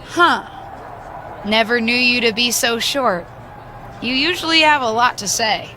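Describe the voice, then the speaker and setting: Game cutscene role: bantering young female wrestler, bright and higher-pitched, conversational, a bit guarded. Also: a little slow, rough recording. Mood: teasing, probing. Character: young woman pro wrestler, friendly, wary, bantering. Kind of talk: video game cutscene dialogue. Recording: faint background noise.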